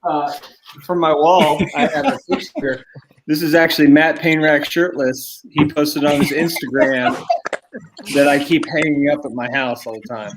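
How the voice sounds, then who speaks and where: A man's voice: a little high, steady tone, moderate pace, very noisy. A man in casual, joking chat as an angler guest on a fishing podcast.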